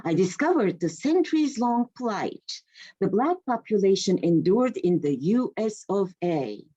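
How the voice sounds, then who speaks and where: Turkish-accented poet in her 60s, lively pitch, hesitant then measured, dull lo-fi call audio. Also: husky. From Turkey. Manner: hesitant with many fillers, then measured reading. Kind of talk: introducing herself and reading a poem. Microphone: video call. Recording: muffled lo-fi video call.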